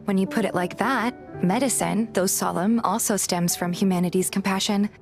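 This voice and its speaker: A woman playing a curious, polite young game heroine, narrating in the first person and speaking her dialogue in an earnest, gentle manner. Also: medium pitch, moderate pace, music in the background, close to an everyday voice. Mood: earnest, reflective.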